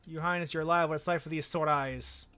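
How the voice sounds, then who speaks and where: Picture a tough, loyal woman who guards her village, speaking in a blunt Irish lilt, as a male streamer reads her lines aloud. The voice has a bright, forceful edge and sits a touch high. Soft game music plays underneath. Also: steady tone, fast, clear, resonant tone. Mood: gruff. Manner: blunt, defiant, plain-spoken. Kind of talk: reading game dialogue aloud.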